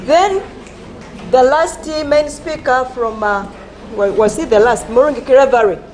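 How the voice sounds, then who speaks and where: A high-pitched woman judge addresses a school debate, reading scores and giving advice to the pupils. She talks slowly and haltingly, stumbling over repeated words and fillers. There is faint background noise. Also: animated, a little mellow, rough recording. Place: school assembly.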